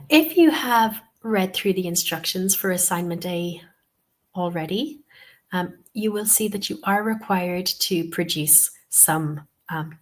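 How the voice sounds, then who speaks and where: A woman tutor with a cold and a sniffly voice gives a webinar lesson. She talks patiently, repeats her key points and often says "okay" and "um". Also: fairly low pitch. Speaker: TEFL course tutor. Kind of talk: webinar instruction.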